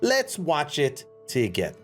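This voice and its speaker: Hombre comentando anuncios de videojuegos. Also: male voice, a little high, huge pitch swings, measured pace, a bit bright, soft background music. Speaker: streamer reacting to a game announcement. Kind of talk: casual live reaction commentary.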